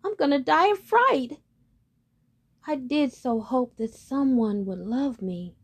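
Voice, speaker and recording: A woman's voice: medium pitch, expressive, unhurried, a little mellow. A woman voicing a sad, lonely young male duckling in a storybook reading, with a plaintive, pleading way of talking.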